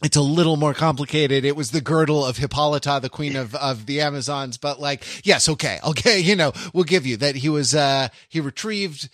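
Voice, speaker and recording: Male podcast co-host, slightly high voice, loose rambling delivery, recorded dry and close. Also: fairly quick, hardly any pauses, fairly bright tone, no room sound. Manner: rambling, many restarts, laughing asides. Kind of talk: casual discussion.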